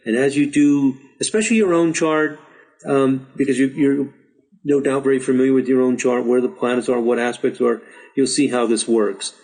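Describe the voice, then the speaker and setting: A middle-aged man who is losing his voice, patiently walking learners through a software chart tutorial and urging them to practice. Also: mid-range voice, fairly even intonation, normal speed, rough recording. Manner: patient, repetitive, encouraging. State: slightly hoarse, losing his voice. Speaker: astrology teacher. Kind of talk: screen-share software tutorial.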